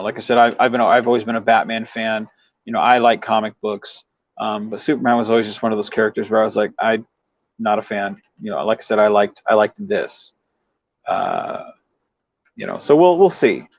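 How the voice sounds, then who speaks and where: A man's voice: on the low side, creaky, dry recording, highs cut off. A man hosting a livestream in casual chat with viewers, rambling and leaning on verbal tics as he talks. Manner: rambling, frequent "you know" verbal tic. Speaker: livestream host.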